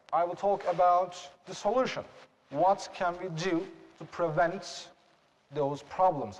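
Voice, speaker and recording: A young man with a Turkish accent giving a slow, deliberate classroom presentation and asking rhetorical questions. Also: high voice, in a large hall, a little hiss or hum, poor audio. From Turkey.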